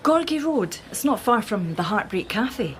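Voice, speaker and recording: A junior detective in a radio drama. She is keen, direct and questioning, with a slightly high voice. There is faint noise behind her. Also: sing-song, a little slow. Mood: eager. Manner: inquisitive, matter-of-fact. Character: keen, quick-witted junior police detective woman.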